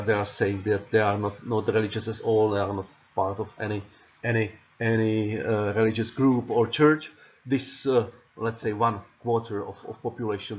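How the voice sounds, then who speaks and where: A man from the Czech Republic with a Czech accent, a non-native speaker giving a recorded lecture over slides, stumbling over repeated words and fillers. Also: fairly low pitch. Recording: dull lo-fi audio.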